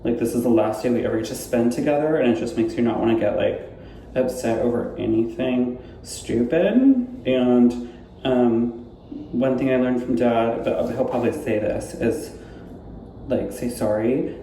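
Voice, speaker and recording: A man's voice: medium pitch, steady tone, warm tone, distant mic, a little hiss or hum. Chatty man in an echoey room. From Southern California. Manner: chatty, playful, quick asides. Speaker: co-host of a family channel. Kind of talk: casual Q&A chat.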